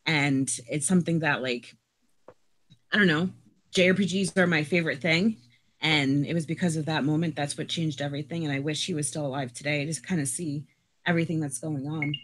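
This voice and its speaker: A woman on a podcast panel sharing a personal story, speaking earnestly and haltingly with many pauses. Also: low voice, fairly even intonation.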